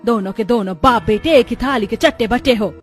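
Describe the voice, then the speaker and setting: A woman's voice: on the high side, a little fast, faint music behind, close to an everyday voice. A woman voicing an irritable, lazy and haughty housewife in a cartoon story, grumbling and scornful. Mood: angry, scornful. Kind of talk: Hindi cartoon story acting.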